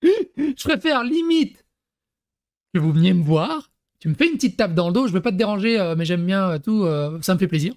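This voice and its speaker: A man's voice: sits high, expressive, moderate pace, darkish tone, dry recording, clean studio sound. Rambling man telling anecdotes. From Paris, France. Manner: rambling, lots of fillers and restarts, laughs at own stories. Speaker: YouTuber. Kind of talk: casual storytelling to camera.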